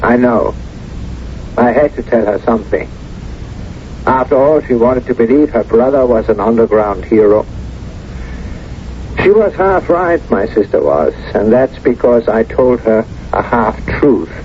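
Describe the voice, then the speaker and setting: An actor in a 1970s radio drama playing a suave, menacing former collaborator, measured, deliberate and smug. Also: male voice, mid-range voice, expressive, natural voice. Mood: coolly confessing. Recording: muffled, hissy old radio recording.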